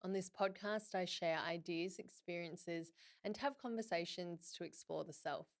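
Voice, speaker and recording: Woman podcast host in her 30s, slightly low voice, candid personal storytelling. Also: normal speed. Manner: chatty, candid, self-deprecating.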